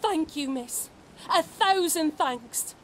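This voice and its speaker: Game villager: desperate, humble peasant woman, extremely high voice, drawn-out delivery. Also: animated, fairly bright tone, a little hiss or hum, heavily acted. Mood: pleading. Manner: meek, deferential. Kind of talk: video game voice acting.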